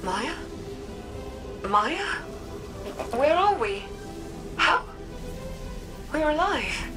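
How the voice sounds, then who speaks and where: A woman playing an earnest, tender, troubled woman in a sci-fi video game, speaking haltingly and with feeling. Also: sits high, big pitch swings, music in the background, heavily acted. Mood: bewildered. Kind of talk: dramatic video game dialogue.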